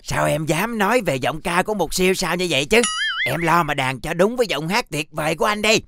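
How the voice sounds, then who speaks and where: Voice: mid-range voice, animated, creaky, noisy background. An actor voicing a vain, bossy young would-be superstar in a children's cartoon, boastful and pompous. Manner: boastful, pompous, drawn-out grand phrases. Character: vain, bossy boy superstar-wannabe pencil character. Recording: music behind the voice.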